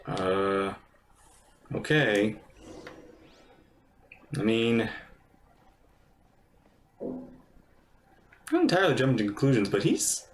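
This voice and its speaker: A woman's voice: rumbling low, huge pitch swings, slow, pauses often, a little hiss or hum. A woman streamer giving casual live game commentary in a hesitant, musing way.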